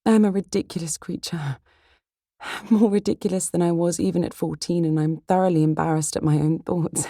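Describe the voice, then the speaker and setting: A woman voicing a witty, candid, level-headed young Regency heroine in an audiobook, poised and measured. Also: fairly low pitch, steady tone, warm tone, no room sound, clean studio sound, voice pushed up. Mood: playful.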